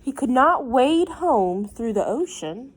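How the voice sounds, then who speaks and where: A woman teacher reading a picture book aloud to young children, with chatty asides and questions, in an expressive, gentle teacherly manner. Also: sits high, slow.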